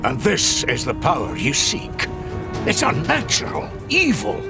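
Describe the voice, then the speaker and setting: Brief: a rough, plain-talking game adventurer, raspy edge, swinging intonation. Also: male voice, a little high, huge pitch swings, measured pace, full character voice. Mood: uneasy. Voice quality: gravelly. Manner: plain-spoken, skeptical questions. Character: gruff male adventurer and tomb robber, blunt and wary. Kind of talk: game cutscene voice acting. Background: loud game music.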